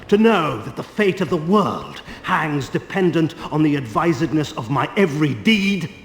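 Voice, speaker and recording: An actor playing a proud, world-weary ancient vampire lord in a video game, speaking in a grandiose, scornful, deliberate way. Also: male voice, fairly high pitch, gravelly. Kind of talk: theatrical video game cutscene acting. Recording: echoey hall reverb, faint music.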